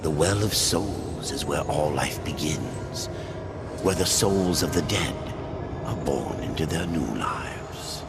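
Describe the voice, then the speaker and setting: An actor playing an ancient, cryptic and sardonic undead keeper of secrets in a dark fantasy game, speaking theatrically. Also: male voice, rumbling low. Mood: ominous. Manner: slow, theatrical, ominous pronouncements. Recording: loud background music under the voice.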